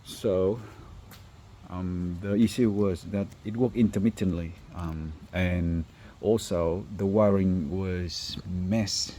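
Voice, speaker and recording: Deep-voiced man, halting non-native English, mellow. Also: steady tone, a little hiss or hum. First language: not English. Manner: halting, hesitant phrasing. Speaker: car installer presenting finished work. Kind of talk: informal workshop walkthrough video.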